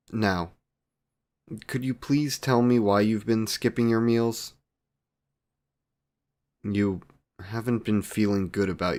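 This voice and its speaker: A man playing a courtly, devoted, youthful vampire boyfriend in a romantic audio roleplay, with archaic, formal wording and tender endearments. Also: fairly low pitch. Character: courtly, devoted 128-year-old male vampire boyfriend, youthful, doting.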